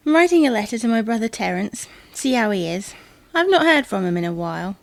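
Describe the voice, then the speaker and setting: A woman's voice: fairly high pitch, very animated, brisk, no room sound, poor audio. A woman playing a fretful, stubborn, old-fashioned wife in an audio drama. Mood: wistful. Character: fretful, stubborn technophobe wife, wistful and suspicious.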